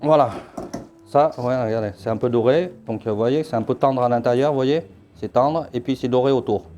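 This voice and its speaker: A middle-aged man from Vietnam, a chef demonstrating dishes in his restaurant kitchen, talking haltingly with many fillers. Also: medium pitch, dark tone. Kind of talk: cooking demonstration. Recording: faint music behind.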